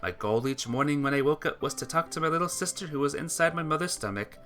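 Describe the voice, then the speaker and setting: Bright-voiced reader narrating a story over music. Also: mid-range voice, expressive, fast talker. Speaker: visual novel player reading the text aloud. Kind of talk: narrated reading of a sentimental story. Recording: music behind the voice.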